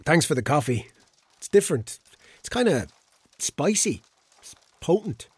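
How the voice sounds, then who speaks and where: A man's voice: a little high, sing-song, unhurried, a little mellow, breathy edge, full character voice. An actor with an Irish accent playing a hungover, bewildered, flippant ladies' man in a radio drama, talking in an awkward, colloquial way. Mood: bemused.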